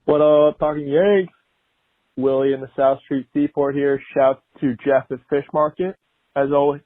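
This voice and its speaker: A man's voice: a little high, steady tone, moderate pace, no echo, narrow, tinny audio. A man calling in with a casual voicemail message, recorded over the phone. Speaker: voicemail caller.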